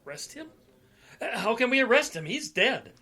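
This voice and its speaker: A man's voice: very high voice, sing-song, normal speed, bright, forceful tone, husky, full character voice. A man playing a folksy, blustery, cagey police detective in an old-time style radio play. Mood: amused, cagey.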